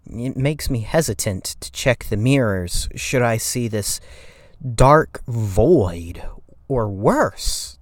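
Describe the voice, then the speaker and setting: A man playing a timid, haunted narrator in a dramatic horror story reading, with a shaky, halting, stammering delivery. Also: medium pitch, expressive, no room sound. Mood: uneasy, hesitant. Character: timid, shaky, haunted narrator recalling a frightening night drive. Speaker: creepypasta reader.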